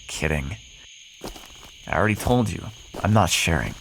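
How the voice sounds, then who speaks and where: A man's voice: low voice, expressive, slow, vocal fry, fairly bright tone, a little hiss or hum, full character voice, rough recording. A man playing a cocky, flirtatious, sardonic vampire in an ASMR roleplay, teasing the listener with pet names, sarcasm and swearing.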